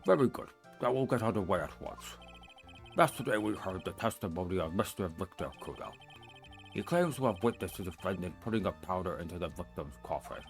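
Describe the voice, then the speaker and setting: A man doing a gravelly, formal judge. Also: low-pitched, expressive, soft background music. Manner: formal, measured. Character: formal elderly male courtroom judge. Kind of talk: fan voice acting of a game.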